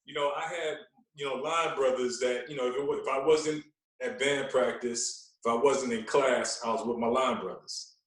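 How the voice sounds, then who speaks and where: A man tells stories casually as an interview guest on a podcast. His voice sits at a middling pitch, with an animated, repetitive way of talking. It is picked up a little away from the mic in an echoey room. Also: moderate pace, distant mic. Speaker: podcast guest, radio personality.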